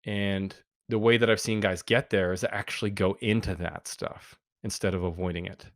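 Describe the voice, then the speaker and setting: A man with a deep voice, a podcast guest talking earnestly and reflectively with frequent fillers. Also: fairly even intonation, normal speed, dry recording, studio quality. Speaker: podcast guest, men's group founder. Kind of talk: casual interview talk.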